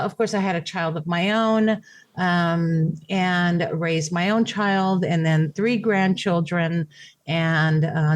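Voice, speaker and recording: A middle-aged woman, raised speaking Japanese, telling her caregiving story in a reflective interview with many fillers and restarts. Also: lowish voice. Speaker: podcast guest, caregiver. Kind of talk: conversational interview.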